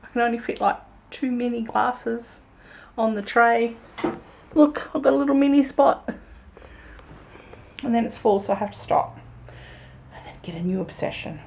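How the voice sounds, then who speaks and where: A young woman chatting casually to camera in a vlog, croaky from a sore throat and rambling in a self-amused way. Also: fairly high pitch, moderate pace, pauses often, a little mellow, slight background noise. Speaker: vlogger.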